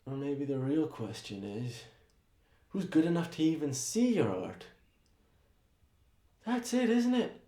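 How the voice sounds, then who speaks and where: An actor playing an angry, sarcastic young painter's assistant in a theatrical monologue, swearing in rapid tirades. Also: male voice, fairly high pitch, animated, roomy sound. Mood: sarcastic fury.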